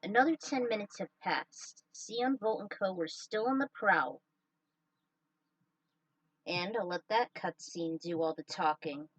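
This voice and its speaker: A woman's voice: lowish voice, unhurried, dry recording, distant mic, rough recording. A woman reading a scripted story aloud, breaking off now and then for casual asides. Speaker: narrator reading own fan fiction.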